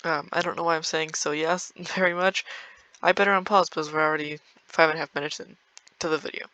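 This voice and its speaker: A young man with a fairly high, bright voice talks loosely through a strategy game, stumbling over his words and laughing now and then. His tone is smooth and clear, recorded close and dry with no room sound. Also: fairly even intonation, fast talker, no echo, low-quality recording. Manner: rambling, filler-heavy, laughs often. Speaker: gameplay video creator. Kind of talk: casual gameplay commentary.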